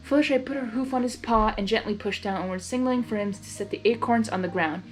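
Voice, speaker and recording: A woman narrating fan fiction in a blind reading, expressive, with her own giggly asides. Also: medium pitch, fast talker, soft background music.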